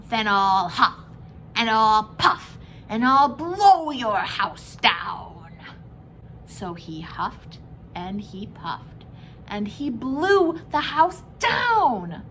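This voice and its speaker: A woman's voice: a little high, slight background noise, rough recording. A woman reading a children's story aloud as a storyteller, phrasing it expressively for young listeners.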